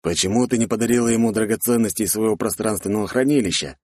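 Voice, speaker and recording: A man's voice: mid-range voice, fairly quick, a little mellow, dry recording, studio quality, pitched above the performer's own voice, natural voice. A man voicing a stern, protective middle-aged count with an authoritative manner in a fantasy audiobook. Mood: probing, suspicious. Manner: authoritative, commanding.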